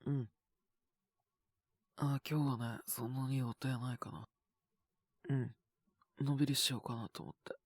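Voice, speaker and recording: A man with a sore, scratchy throat and a cough, chatting casually and intimately in soft, hesitant murmurs as if to someone beside him. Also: mid-range voice, steady tone, unhurried, pauses often, husky, no echo. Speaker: voice actor making situation-voice videos. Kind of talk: intimate casual chat to an unheard partner.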